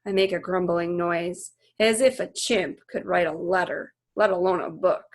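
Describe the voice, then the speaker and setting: A woman reading a children's novel aloud as narrator, with expressive storytelling delivery. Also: on the low side, normal speed. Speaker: storybook reader.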